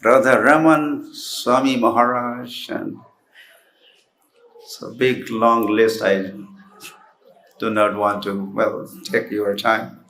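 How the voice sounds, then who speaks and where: A man giving a slow, deliberate welcome in an archival lecture recording, pausing between names. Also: mid-range voice, fairly even intonation, a bit bright. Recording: roomy archival recording with faint noise.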